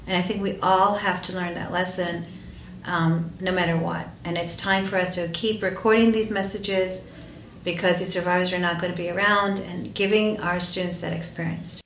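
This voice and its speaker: Female academic interviewee, middle-aged, somewhat low voice, reverberant room. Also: steady tone, moderate pace, a bit bright. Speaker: professor being interviewed. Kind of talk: spontaneous interview answer. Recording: roomy echo, faint noise.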